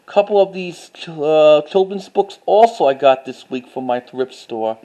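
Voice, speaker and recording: A man narrating a casual, unscripted show-and-tell as a collector, haltingly, repeating phrases and reading titles aloud. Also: a little high, expressive, dark tone, highs cut off. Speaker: collector showing finds to camera.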